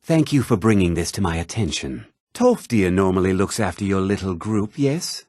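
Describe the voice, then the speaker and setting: An actor voicing a haughty, formal middle-aged mage and official in a fantasy video game, speaking precisely and with condescending politeness. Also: male voice, low voice, sing-song, normal speed, a little mellow, no room sound, studio quality. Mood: measured, approving.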